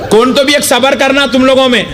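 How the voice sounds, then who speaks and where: A young man preaching a sermon, telling a humorous story in an animated way. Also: very high-pitched, brisk, a faint crowd. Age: young adult. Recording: live gathering with audience noise. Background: audience reactions.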